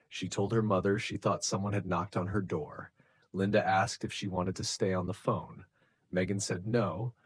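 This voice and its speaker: A man narrating a scripted true crime story in a measured, suspenseful way, with short deliberate sentences. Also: low-pitched, slightly rough, no echo. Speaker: narrator of true crime stories for English learners.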